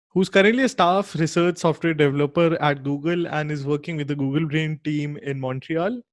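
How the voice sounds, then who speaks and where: A man hosting a podcast, reading an upbeat scripted intro and asking interview questions. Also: fairly high pitch. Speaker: podcast host.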